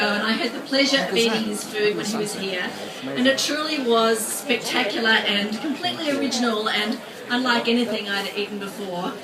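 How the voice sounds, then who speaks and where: A woman hosting a live restaurant event, chatty and lightly laughing, with filler ums. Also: a little high. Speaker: event host. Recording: distant mic, crowd noise.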